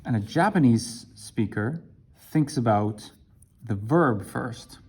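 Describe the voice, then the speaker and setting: Man teaching English, slow deliberate delivery, mellow voice, "right?" check-ins. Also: mid-range voice, expressive, slightly rough. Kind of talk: explaining a language lesson to camera.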